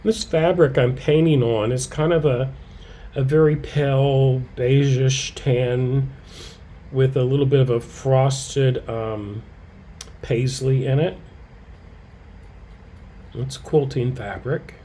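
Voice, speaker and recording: A man teaching a live quilting and fabric-painting demonstration, slightly hoarse, chatting with viewers by name and muttering softly to himself as he works. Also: medium pitch, slow, long pauses, a little hiss or hum. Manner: relaxed, talks softly to himself while he works; answers viewers' comments by name. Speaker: quilting educator.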